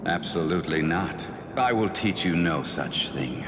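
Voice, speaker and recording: Cold anime sword spirit, low voice, haughty, imperious, long cavernous reverb. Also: male voice, expressive, a little slow. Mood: cold refusal. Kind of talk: English anime game voice acting. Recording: cavernous reverb with noisy ambience.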